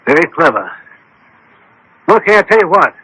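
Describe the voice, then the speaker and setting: A bright-voiced, self-assured gentleman detective. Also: fairly high pitch, big pitch swings, normal speed, breathy edge, dry recording, heavily acted, poor audio. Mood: confident, instructive. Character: shrewd, commanding gentleman detective doctor, self-assured and exacting. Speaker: radio drama actor. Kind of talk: radio mystery acting.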